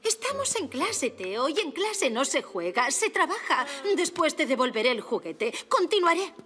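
A woman's voice: high-pitched, sing-song, normal speed, sharp tone, heavily acted. A woman with a Castilian accent voicing a prim, kindly bee schoolteacher in a cartoon, polite but firm. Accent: Castilian Spanish. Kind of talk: animated cartoon dub acting.

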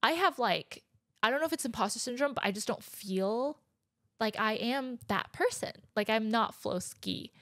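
A woman's voice: a little high, lively intonation, moderate pace, a bit bright, no echo, studio quality. Chatty young woman podcasting on her couch. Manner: chatty, candid, giggly. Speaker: podcast host. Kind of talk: casual solo podcast chat. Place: living room, on a couch. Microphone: handheld dynamic mic, close.

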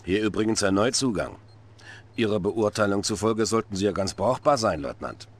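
A man's voice: lowish voice, animated, moderate pace. A middle-aged man playing a stern, impatient Soviet dictator with a curt, commanding manner in a dubbed video game cutscene.

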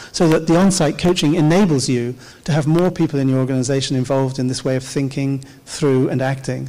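Middle-aged male keynote speaker, warm mellow tone, measured delivery, handheld mic in a big reverberant hall. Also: mid-range voice. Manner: measured, explanatory, occasional "uh" pauses. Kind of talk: conference keynote talk. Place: large dark auditorium stage. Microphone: handheld microphone.

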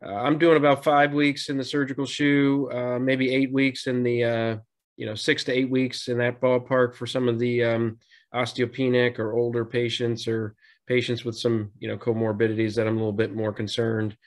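A man on a video call, a surgeon explaining his approach in a relaxed way, with many "you know" and "kinda" fillers. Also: medium pitch, moderate pace. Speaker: surgeon panelist. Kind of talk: clinical explanation. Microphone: video call webcam.

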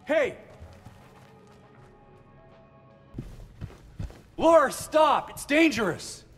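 An actor playing an earnest, troubled man in a video game, speaking in a pleading, halting way. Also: very high-pitched, big pitch swings, fairly bright tone, heavily acted. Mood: urgent. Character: earnest, troubled adult man searching a haunted town. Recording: game music behind the voice.

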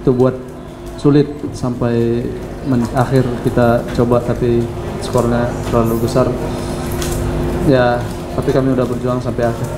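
A man's voice: medium pitch, flat delivery, moderate pace, noisy background. A young man in his twenties, a football player, giving casual remarks at a post-match press conference. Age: 20s. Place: indoor press conference room. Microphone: handheld microphone. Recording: echoey room with music behind.

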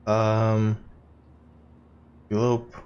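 A man's voice: lowish voice, monotone, extremely slow, a little mellow, lo-fi sound. A man hosting a gaming video, casually reading game messages aloud and commenting in a playful, theatrical way.

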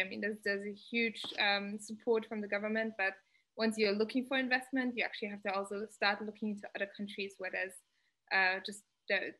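A woman in her thirties, a startup founder, giving earnest, measured advice on a video call panel, pausing often with "um". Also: medium pitch, bright, forceful tone, slight background noise, low-quality recording. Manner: earnest, measured, many "um" pauses. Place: indoor home room. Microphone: laptop webcam on video call.

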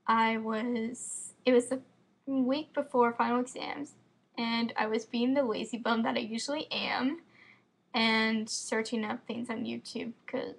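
Slow, gravelly teen American girl. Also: fairly high pitch, low-quality recording. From Florida, United States. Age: teens. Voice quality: slight lisp. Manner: quick, chatty, rambling with many fillers. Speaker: fan vlogger. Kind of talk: casual webcam chat. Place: home room with bookshelves, indoors. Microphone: built-in computer webcam mic.

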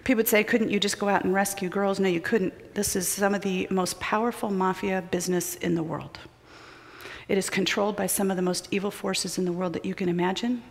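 A woman's voice: mid-range voice, fairly even intonation, brisk, gravelly. Woman preaching in a reverberant sanctuary. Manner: storytelling, swings from loud urgency to hushed near-whispers. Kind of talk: sermon with personal stories. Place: large church sanctuary with choir loft. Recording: long hall reverb of a large sanctuary.

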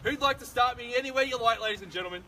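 Australian auctioneer calling bids outdoors. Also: male voice, very high voice. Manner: brisk, persuasive sales patter. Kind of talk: live property auction calling. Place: suburban street outdoors. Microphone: far camera, no microphone. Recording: distant outdoor camera audio, loud street noise.

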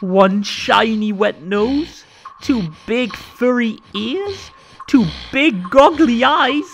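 A man reading a children's story aloud in a theatrical, rhythmic and expressive way. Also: very high voice, extremely slow, creaky, a little hiss or hum. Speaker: storybook narrator. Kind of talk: animated children's read-aloud. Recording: music under the voice. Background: music.

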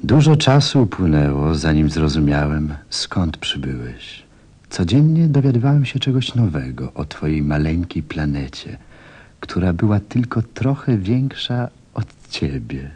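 Very deep, husky, wistful pilot storyteller played by a man. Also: very animated, unhurried, warm tone, exaggerated voice. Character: reflective pilot recalling his little friend. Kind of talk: scripted radio play narration.

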